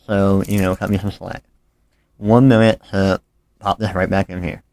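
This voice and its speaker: A man's voice: low-pitched, flat delivery, moderate pace, darkish tone. A man talking informally and a bit apologetically through a hands-on phone repair tutorial as he works. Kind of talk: casual hands-on how-to narration.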